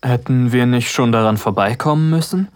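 A man playing a calm, friendly young boyfriend on holiday, speaking in a relaxed, reassuring way in an audio drama. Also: mid-range voice, moderate pace, smooth, close to an everyday voice. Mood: casual.

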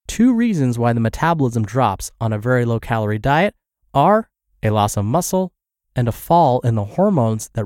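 A man's voice: mid-range voice, a little mellow, no room sound, studio quality. A man hosting a podcast and reading a health blog aloud, sounding congested and scratchy from the flu. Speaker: podcast host and narrator.

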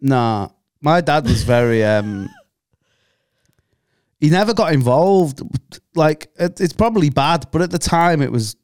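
A man's voice: on the high side, animated, slow pace, pauses often, darkish tone, dry recording, clean studio sound. A man in casual chat as a podcast co-host, swearing and joking. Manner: banter with swearing and jokey put-on voices.

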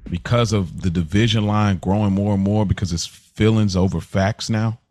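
Earnest guy cohosting a podcast, lowish voice, casual, rephrases himself, music behind him. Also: steady tone, normal speed, darkish tone, no echo. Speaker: podcast co-host.

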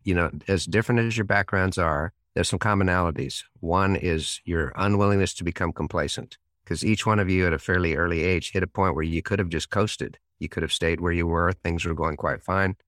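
A man's voice: sits low, a little fast, few pauses, fairly bright tone, no room sound, clean studio sound. A man hosting an interview, speaking conversationally in an affirming, reflective manner. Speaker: podcast host.

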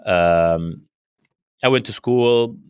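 Male interview guest, low voice in a dry recording, native Arabic speaker from Syria, conversational, halting and repetitive as he thinks. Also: darkish tone, smooth, no room sound, dull audio. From Syria, raised partly in Spain. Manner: halting, repeats words as he thinks.

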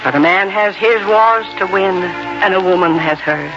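An actress playing a comforting, resolute frontier woman, calm and solemn in 1940s radio drama style. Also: medium pitch, moderate pace, over music, lightly acted. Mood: consoling. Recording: dull old radio broadcast, heavy noise.

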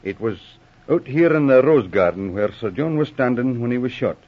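An actor playing a confident, plain-spoken police sergeant in an old radio drama, matter-of-fact and respectful. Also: male voice, mid-range voice, lively intonation, moderate pace, husky. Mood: assured. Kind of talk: 1940s radio drama acting. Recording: muffled old radio broadcast recording.

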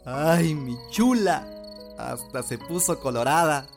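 An actor playing a tender, devoted rural Mexican man in an audio drama, with a Mexican accent and a gentle, coaxing way of talking. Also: fairly high pitch, lively intonation, slow pace, gravelly, music in the background, exaggerated voice. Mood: affectionate.

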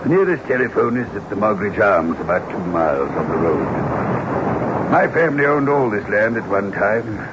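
An actor playing a grave, haunted widower in a radio drama, formal and measured, with a foreboding manner. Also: male voice, lowish voice, sing-song. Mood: polite, reserved. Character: grave, haunted widower, male landowner of modest means. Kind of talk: 1960s radio drama acting. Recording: muffled, hissy old radio recording.

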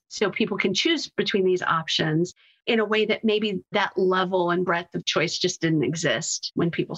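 A woman's voice: medium pitch, fairly bright tone. A woman talking conversationally as an interview guest, giving explanatory answers as a marketing executive. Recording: slightly dull, lo-fi audio.

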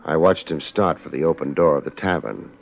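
An American actor playing a wry, laid-back but tough private investigator in a 1950s radio drama. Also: male voice, very deep voice, a little fast, gravelly, full character voice. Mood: wry, watchful. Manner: laid-back, wry, hard-boiled delivery. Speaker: lead actor and narrator. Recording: muffled old radio recording, music behind.

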